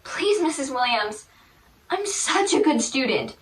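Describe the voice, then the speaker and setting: A woman playing a bullied teenage girl pleading with her counselor in a hushed, breathy voice. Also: high-pitched, sing-song, unhurried. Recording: roomy, from far off.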